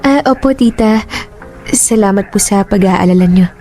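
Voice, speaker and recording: A woman's voice: medium pitch, normal speed, dark tone, faint music behind, close to an everyday voice. An actress playing a fearful, weary young pregnant woman in a radio drama, with a Filipino accent. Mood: polite, evasive.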